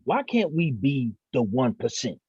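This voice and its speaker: An actor at a table read playing a teasing young friend of the family. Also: male voice, medium pitch, big pitch swings, unhurried, a little mellow, breathy edge, no echo, heavily acted. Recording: dull, dry lo-fi sound.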